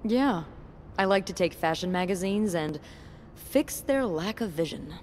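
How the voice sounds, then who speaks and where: A woman's voice: lowish voice, animated, a little slow, a little mellow, slight background noise. An actress voicing a wry, playful, self-assured young woman with a teasing manner in a naturalistic video game scene. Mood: playful, candid.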